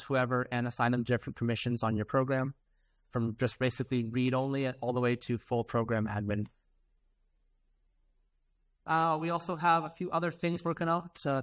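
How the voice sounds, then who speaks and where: A middle-aged man presenting software to a conference in an informal, explanatory, conversational way. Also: mid-range voice, clean tone.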